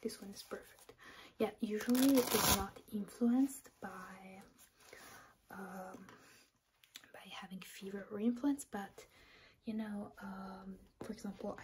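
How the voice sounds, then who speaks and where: Slow, whispering woman in ASMR doctor roleplay. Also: mid-range voice, a little hiss or hum. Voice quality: breathy whisper, mostly unvoiced. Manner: gentle, reassuring, unhurried explaining. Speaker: ASMR performer playing a doctor. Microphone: close ASMR microphone.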